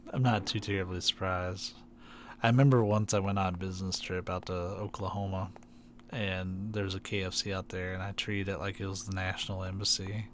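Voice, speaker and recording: A man's voice: deep voice, flat delivery, a little fast, slight background noise. A man from Kentucky chatting casually while playing a game on stream, rambling with lots of fillers and restarts. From Kentucky, USA.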